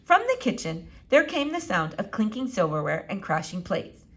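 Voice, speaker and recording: A woman narrating a children's novel as an expressive read-aloud. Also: medium pitch, normal speed. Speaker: storybook reader.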